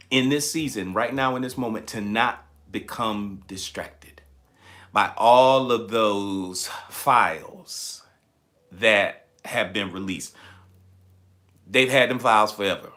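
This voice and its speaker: A man hosting a motivational livestream, speaking emphatically and repeating phrases, his voice slightly raspy and tired. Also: on the low side, takes it slowly, sharp tone. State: slightly raspy, tired voice from shouting the night before. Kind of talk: motivational livestream talk, preaching-like.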